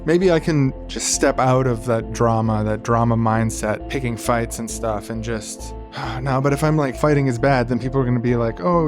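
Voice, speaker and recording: A man playing an anguished, defensive streamer in a therapy sketch, halting and rambling as he agonises. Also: mid-range voice, natural voice. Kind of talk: comedy sketch acting.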